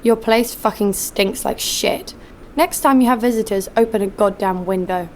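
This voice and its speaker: A woman's voice: medium pitch, moderate pace, background noise, lightly acted. An actress playing a cynical, hard-nosed female bounty hunter in an audio drama, with a blunt, sardonic delivery. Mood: hostile, blunt. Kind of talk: first-person audio drama narration and dialogue.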